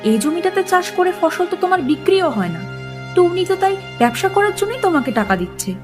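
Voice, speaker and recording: A woman playing the nagging, worried wife of a poor village farmer in a cartoon, expressive and quick to scold. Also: very high-pitched. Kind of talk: cartoon character dialogue. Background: loud music.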